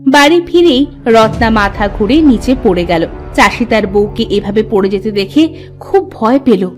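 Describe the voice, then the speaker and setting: A woman's voice: a little high, lively intonation, moderate pace, soft background music. A woman reading scripted narration for a cartoon folk tale.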